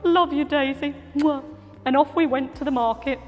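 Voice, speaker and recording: A woman voicing an excitable, cheeky young boy who tells his own story with many gasps and sing-song exclaims. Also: sits high, moderate pace, big-room sound, close to an everyday voice. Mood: excited. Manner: gasping, breathless, sing-song. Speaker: storyteller actor. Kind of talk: theatrical children's storytelling.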